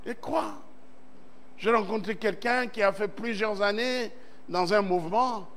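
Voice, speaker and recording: High-voiced preaching pastor from Central Congo. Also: male voice, unhurried, a bit bright. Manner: preaching with emphatic swells and call-and-response amens. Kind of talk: sermon. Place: church service.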